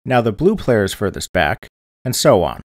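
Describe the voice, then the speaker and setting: A man giving scripted instructional narration in a clear, methodical, step-by-step manner, as a tutorial presenter. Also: lowish voice, very animated, moderate pace, dry recording, studio quality. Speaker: board game tutorial presenter.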